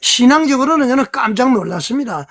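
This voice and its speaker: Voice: sits high, lively intonation, fast talker. A news commentator on an online church news channel, explaining conversationally and putting rhetorical questions to the audience.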